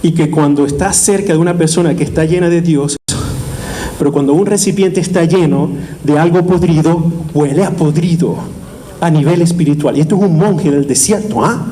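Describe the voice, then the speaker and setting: High-voiced animated preaching priest. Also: male voice, a little hiss or hum. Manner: animated preaching, asks the audience questions and repeats phrases. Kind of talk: sermon.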